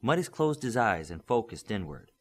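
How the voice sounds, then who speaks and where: A man's voice: on the low side, sing-song, normal speed. A man giving a scripted narration of a novel as an audiobook reader.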